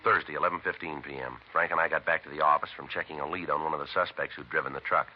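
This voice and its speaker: A terse American detective in a vintage radio crime drama. He has an extremely low voice and talks briskly, in clipped, matter-of-fact facts. The recording is muffled, with music clearly present. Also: steady tone, noisy background. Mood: flat, factual. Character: terse, methodical male police detective sergeant and narrator.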